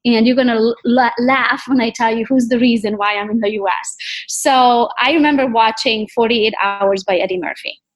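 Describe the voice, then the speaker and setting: A woman's voice: a little high, moderate pace, poor audio. A woman in her thirties with a Romanian accent, telling her personal story in a chatty, candid way as an interview guest. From Transylvania, Romania. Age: 30s. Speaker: podcast guest, realtor.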